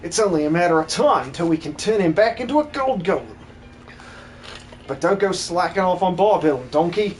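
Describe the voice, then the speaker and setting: A man's voice: high voice, lively intonation, normal speed. A man voicing a bossy, chirpy young working-class woman in a comic game dub. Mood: brisk, encouraging.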